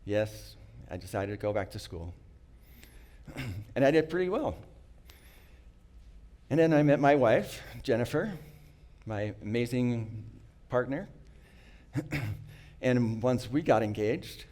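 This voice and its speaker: A middle-aged man, not a native English speaker, telling a prepared personal story in an earnest, reflective way, with small restarts and frequent throat-clearing. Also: medium pitch, expressive, slow, long pauses, a little mellow.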